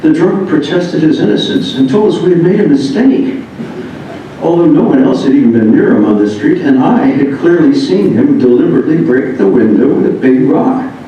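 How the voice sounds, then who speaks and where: A man's voice: fairly high pitch, expressive, moderate pace, warm tone. Echoey, distant American man reading aloud. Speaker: reader of another writer's memoir. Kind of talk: reading aloud first-person humorous anecdotes. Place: echoey indoor room. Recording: echoey room, distant mic, dull, faint noise.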